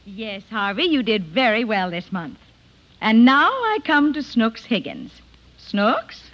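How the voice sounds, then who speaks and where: A woman's voice: fairly high pitch, sing-song, slow, a bit bright, exaggerated voice. A woman playing a stern, exasperated schoolteacher, prim and scolding, in a vintage radio comedy. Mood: prim, reproving. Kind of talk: 1950s radio comedy acting. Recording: muffled vintage radio recording.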